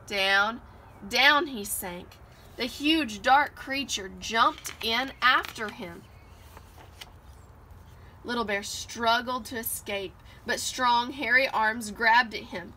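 Bright-voiced woman reading a children's story. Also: on the high side. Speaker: children's book reader. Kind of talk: expressive read-aloud storytelling. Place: outdoors on a porch swing. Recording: noisy outdoor home recording.

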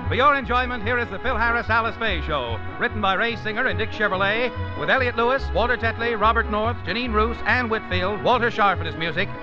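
A man's voice: high-pitched, brisk, hardly any pauses. A man announcing a 1950s radio show from a script with a polished, emphatic salesman's delivery. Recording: muffled 1950s radio recording, music behind.

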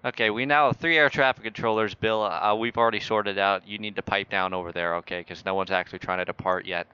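Bossy low-voiced man, forceful and muffled. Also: fairly even intonation, quick pace, clean tone. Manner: bossy, sarcastic, mock-authoritative. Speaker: YouTuber playing air traffic controller. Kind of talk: improvised air traffic control role-play in a flight simulator game. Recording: muffled voice chat with faint noise.

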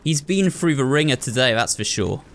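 A man's voice: mid-range voice, animated, normal speed. A man hosting a video game playthrough, thinking aloud and talking to viewers in a running commentary. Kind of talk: excitable gameplay commentary.

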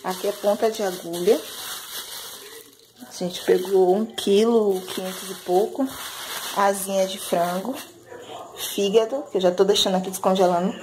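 A woman's voice: medium pitch, fairly even intonation, normal speed, long pauses, noisy background. A woman in her thirties from Brazil, chatting casually as she lists her monthly groceries in a home vlog, recorded on a handheld phone in her kitchen. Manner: chatty, listing items with fillers like "né". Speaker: vlogger. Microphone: handheld phone camera.

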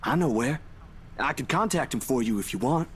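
A mild, folksy young man , acted by a man, in a visual novel. He is easygoing and supportive, mid-pitched, with faint noise behind him. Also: expressive, normal speed, close to an everyday voice. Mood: reassuring.

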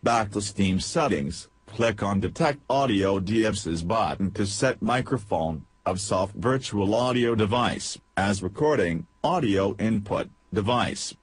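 A man with a fairly low, husky voice narrates a software tutorial. He reads scripted step-by-step instructions very slowly, in a stilted manner. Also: lively intonation, no room sound.

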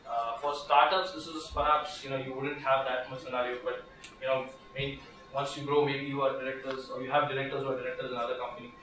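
Fast-talking Indian lawyer in an echoey room. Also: male voice, medium pitch, flat delivery, a bit bright. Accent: Indian English. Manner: conversational, lots of restarts and fillers, gestures while standing. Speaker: workshop speaker, lawyer. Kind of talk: informal legal workshop talk. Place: small seminar room with projector. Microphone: far camera, no mic. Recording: echoey room from far off, background noise.

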